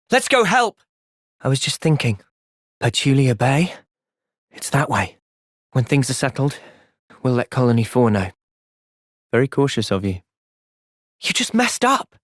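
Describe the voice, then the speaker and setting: A man voicing an earnest, thoughtful young fighter in a video game, measured and sincere but capable of impassioned intensity. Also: sits high, fairly bright tone, dry recording, clean studio sound, heavily acted. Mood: urgent, defiant, then thoughtful, steady. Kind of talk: video game cutscene voice acting.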